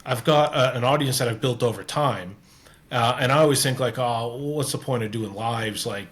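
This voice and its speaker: A man's voice: medium pitch, normal speed, fairly bright tone. A man with a Canadian accent hosting a casual interview, talking earnestly and rambling with many restarts. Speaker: podcast host.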